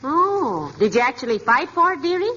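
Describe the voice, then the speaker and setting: An actress playing a fond, patient housewife in 1940s radio comedy, motherly and gently needling. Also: sits high, very animated, moderate pace, breathy edge, heavily acted. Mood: gentle teasing. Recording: muffled 1940s radio, loud noise.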